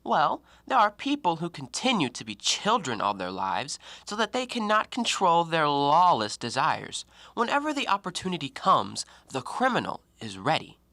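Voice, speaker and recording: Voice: mid-range voice, wildly swooping pitch, moderate pace, hardly any pauses, bright, forceful tone, no room sound, heavily acted. A performer in an audio play acting an educated, self-justifying man with formal, articulate stage diction. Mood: musing, troubled.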